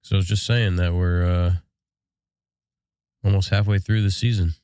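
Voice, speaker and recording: A man's voice: sits low, steady tone, moderate pace, a little mellow, smooth, no room sound, studio quality. An American man co-hosting a podcast in casual, laid-back shop talk, sounding congested from a sinus infection. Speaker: podcast co-host.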